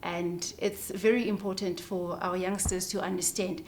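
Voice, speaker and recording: A woman's voice: lowish voice, level tone, normal speed, darkish tone. A woman with a South African accent, an air traffic controller giving informal explanations in an interview.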